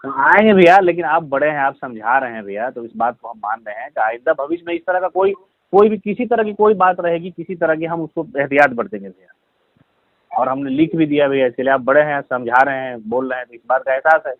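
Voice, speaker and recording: A Bihari man with a fairly high voice explains himself on a dull, tinny phone recording. He is deferential and pleading, apologising and respectfully addressing the other person as an elder brother. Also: animated, brisk, no room sound. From Bihar, India. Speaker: caller being confronted over a social media comment.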